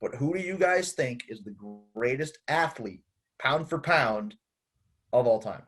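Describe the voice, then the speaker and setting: A young man co-hosting a casual sports podcast, animated as he explains his points at length. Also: medium pitch, measured pace. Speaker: podcast co-host.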